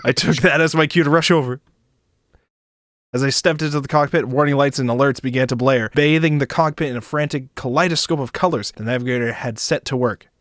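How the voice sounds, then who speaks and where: A man's voice: medium pitch, fairly quick, a bit bright, dry recording, clean studio sound. A man streaming a visual novel read-aloud, narrating expressively and breaking into playful asides. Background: game music.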